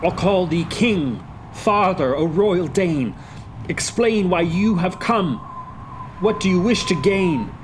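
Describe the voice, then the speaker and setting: A man's voice: fairly high pitch, unhurried, natural voice. Man acting an impassioned young prince, noisy recording. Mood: defiant, urgent. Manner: dramatic, declamatory. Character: impassioned young prince, male, brooding and defiant.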